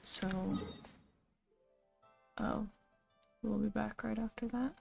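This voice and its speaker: A woman gives casual live commentary while playing a game. Her voice is very creaky and stays within a narrow pitch range. She sounds low-key and dry, with faint music behind her. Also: fairly even intonation, slow, a little mellow. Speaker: game streamer.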